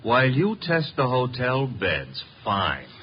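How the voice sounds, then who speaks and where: An actor playing a cheeky, sardonic detective's assistant with a breezy, needling manner in a 1950s radio drama. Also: male voice, mid-range voice, huge pitch swings, slow pace, breathy edge, exaggerated voice. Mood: wry, needling. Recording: muffled, noisy vintage radio recording.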